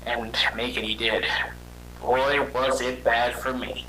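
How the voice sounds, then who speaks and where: A man voicing a weary young robot hedgehog, telling his story in a halting, conversational and dramatic way for a fan audio drama. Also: mid-range voice, level tone, bright, forceful tone, slight background noise, poor audio. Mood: grim, haunted.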